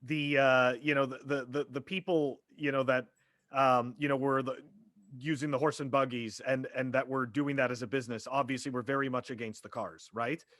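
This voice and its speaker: A man in his forties talking on a podcast, halting and full of fillers like "you know" and "okay?". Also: mid-range voice, steady tone, a bit bright, dry recording, clean studio sound. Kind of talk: casual podcast discussion.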